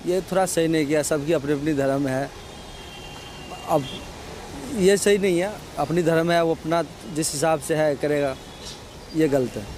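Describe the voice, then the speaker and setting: A man's voice: on the high side, measured pace, pauses often. Man interviewed on noisy street. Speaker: interviewed member of the public. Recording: noisy outdoor street with traffic.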